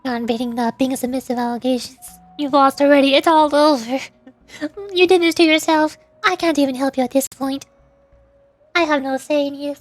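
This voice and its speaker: A woman's voice: high voice, steady tone, normal speed, no room sound. A woman streamer chatting casually in a playful, teasing way during a livestream. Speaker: VTuber streamer. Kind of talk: casual livestream chat while voice acting game dialogue. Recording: background music. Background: music.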